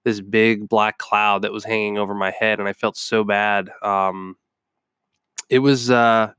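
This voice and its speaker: A man's voice: lowish voice, little pitch movement, unhurried, fairly bright tone, clean tone, dry recording, clean studio sound. A young adult man with a stuffed-up cold, hosting a podcast and telling a personal story in a rambling way with many ums. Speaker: podcast host. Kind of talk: casual personal storytelling monologue.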